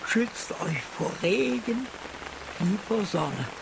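Old peddler, very slow, creaky. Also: male voice, high voice, sing-song, heavily acted. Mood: humble hawking. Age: older. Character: old street peddler humbly hawking oiled paper. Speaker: street vendor character. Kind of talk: radio drama acting. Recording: distant voice in pattering rain noise.

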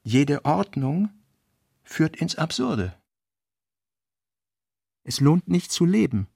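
Guy reading essay notes for a radio play, creaky husky voice, really dry sound. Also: fairly high pitch, sing-song, takes it slowly, mellow, no room sound. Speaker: reader in a literary radio play.

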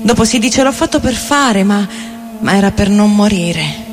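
A woman giving a theatrical recitation of poetry in deliberate, short phrases. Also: medium pitch, lively intonation. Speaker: theatre reader and vocal performer. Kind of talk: poetic theatrical recitation over music. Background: experimental guitar and electronic loops.

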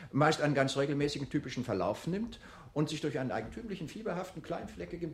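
A man playing a pedantic medical lecturer in a radio play, reciting facts in a clinical, measured manner. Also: a little high, natural voice.

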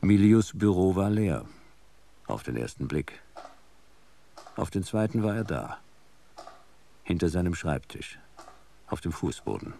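An actor playing a laconic, hard-boiled future private detective, speaking in terse sentences in a noir radio play. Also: male voice, deep voice, big pitch swings, unhurried, creaky, long pauses, mellow, slight background noise, heavily acted. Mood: terse, brooding. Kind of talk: noir first-person narration and radio play acting.

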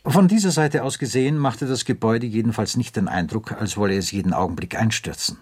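A man narrating a radio drama in a measured, literary storytelling style. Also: on the low side, animated, a little mellow, slightly rough.

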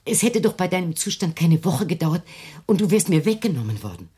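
An anxious, controlling elderly mother in a radio play. Her voice is deep for a woman and very breathy, rising and falling dramatically. She comes across as stern and agitated. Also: big pitch swings, fairly quick, dark tone, heavily acted. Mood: justifying. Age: older. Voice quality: husky, breathy. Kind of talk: German radio drama acting.

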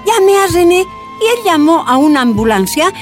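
A resolute woman activist telling her own story in a radio drama. Her high voice moves expressively over soft music. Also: big pitch swings, moderate pace. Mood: recounting. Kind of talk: dramatised first-person narration.

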